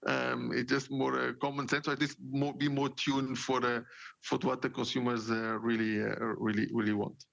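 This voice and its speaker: A man from Portugal in his forties with a gravelly, fry-edged voice, recorded dry and a little dull. He talks in a loose, storytelling way, full of "uh" and restarts. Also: medium pitch, level tone, normal speed, a bit bright, no room sound. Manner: many fillers and repeated words, storytelling. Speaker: guest, marketing executive. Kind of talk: casual interview talk.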